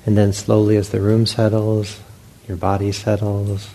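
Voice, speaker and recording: A man teaching meditation to a group, giving gentle, unhurried guidance with long pauses between phrases. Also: on the low side, completely flat delivery, low-quality recording. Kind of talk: guided meditation instruction to a group. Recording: faint background noise.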